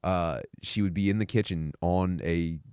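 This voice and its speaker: A man's voice: sits low, moderate pace, darkish tone, clear, resonant tone, no room sound, clean studio sound. A man in casual, profane podcast conversation, bantering informally and reading passages aloud. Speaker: co-host reading aloud from a book.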